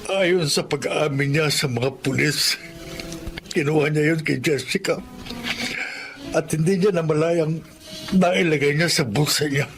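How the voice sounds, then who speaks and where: A man's voice: on the high side, unhurried, long pauses, fairly bright tone, soft background music. An actor with a Filipino accent playing a firm, protective middle-aged father in a radio drama. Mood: grave, pained.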